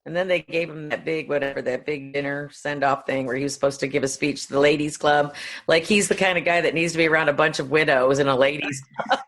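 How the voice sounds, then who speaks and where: A woman's voice: deep voice, steady tone, fast talker, a bit bright. A woman co-hosting a podcast, discussing films casually in a blunt, opinionated, colloquial way. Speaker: podcast co-host.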